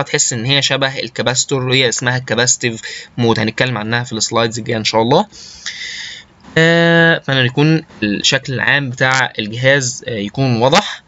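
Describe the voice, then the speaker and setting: Bright-voiced Egyptian man lecturing, dull recording. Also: mid-range voice. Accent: Egyptian Arabic. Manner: halting, often repeating words while searching for terms. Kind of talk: informal slide lecture mixing Arabic with English technical terms. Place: voice-over recorded over slides.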